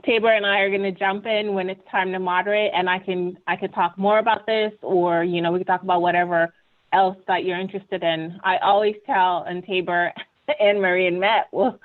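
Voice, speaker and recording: A woman's voice: lowish voice, steady tone, a little fast. A woman from Ghana, giving an earnest, conversational webinar presentation and often checking in with "right?". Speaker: webinar panelist. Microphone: video call. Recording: tinny video call audio.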